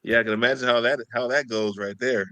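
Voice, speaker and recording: A male podcast co-host with a bright, forceful voice. He works his way through long questions, circling back with fillers and repeated words. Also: medium pitch, fairly even intonation. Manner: halting, many fillers and repeats. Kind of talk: interview questions.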